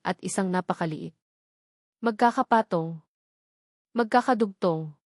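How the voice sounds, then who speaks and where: A woman with a Filipino accent narrates a scripted dramatic story in a measured, solemn way with deliberate pauses. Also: mid-range voice, darkish tone, no room sound, clean studio sound. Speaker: audiobook narrator.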